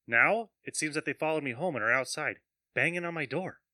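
A man's voice: on the high side, brisk, dry recording, studio quality. A man acting a jittery, skeptical man who is spooked and agitated, in an amateur audio drama. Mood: nervous. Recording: loud music over the voice.

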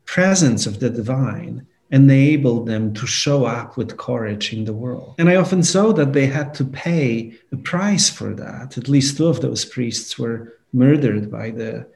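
A man's voice: medium pitch, measured pace, creaky, few pauses, a little mellow. A man in his forties from Poland, a priest answering interview questions in an earnest, thoughtful way. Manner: earnest, thoughtful, with many "you know"s. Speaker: priest and guest.

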